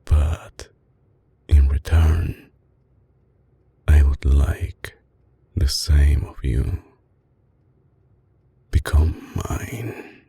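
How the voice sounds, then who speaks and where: A man playing a tender, possessive mafia boss with an Italian accent in an intimate, soft-spoken ASMR roleplay, his voice deep, breathy and husky. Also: steady tone, full character voice. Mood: seductive, pleading. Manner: slow, hushed, coaxing, with dramatic pauses. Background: music.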